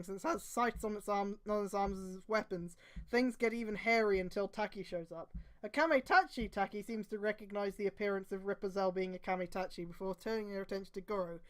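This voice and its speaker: A woman reading fan fiction aloud on a stream, breaking in with exasperated, casual, sweary commentary. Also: mid-range voice.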